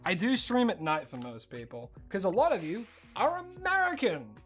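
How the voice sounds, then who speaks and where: Excitable Australian streamer with lively pitch. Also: moderate pace, soft background music. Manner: rambling, excitable, jumps into high squeals of surprise. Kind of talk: casual live-stream chat while gaming.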